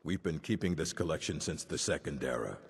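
Very deep, husky old orc. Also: male voice, animated, full character voice. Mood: dry, measured. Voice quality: deep, gravelly rasp. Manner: measured, curt, dry. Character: gruff old male orc librarian, stern and learned. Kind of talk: video game dialogue acting. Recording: long reverb of a stone hall.

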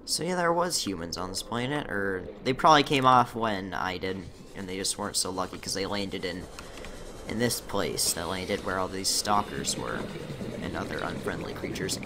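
A young man commentating his own gameplay in a casual, chatty way, muttering to himself, his voice slightly rough from a recent illness. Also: low-pitched, lively intonation, normal speed, very noisy. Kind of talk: casual let's-play commentary.

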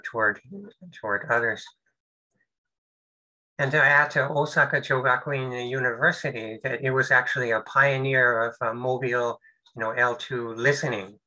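An older man presents over a video call. His voice sounds very bright and forward, and he talks in halting phrases full of fillers and restarts. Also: mid-range voice, rough recording. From United States. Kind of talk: webinar presentation.

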